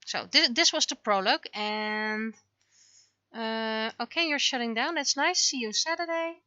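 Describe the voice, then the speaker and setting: Bright-voiced woman streaming casually. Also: fairly high pitch, measured pace, clean tone, dry recording, lo-fi sound. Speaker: livestreamer, webcomic and visual novel creator. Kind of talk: casual livestream commentary on own work.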